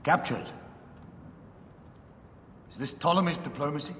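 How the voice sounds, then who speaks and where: An actor playing a commanding, warlike Roman general in a dramatic video game cutscene. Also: male voice, on the high side, a little slow, fairly bright tone. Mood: indignant. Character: commanding, bellicose Roman general and ruler, decisive. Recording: echoey hall reverb, faint background noise.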